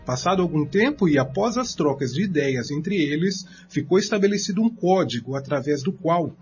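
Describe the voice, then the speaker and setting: A man's voice: fairly high pitch, animated, moderate pace, a little mellow. A man with a Brazilian accent reading scripted narration for a radio drama. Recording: dull lo-fi, faint music underneath.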